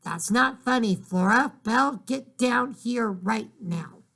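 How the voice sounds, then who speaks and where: Guy doing a cranky mom yelling up the stairs, higher and loud. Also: slow, gravelly, heavily acted. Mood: scolding. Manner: loud, scolding calls. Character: exasperated mother shouting upstairs.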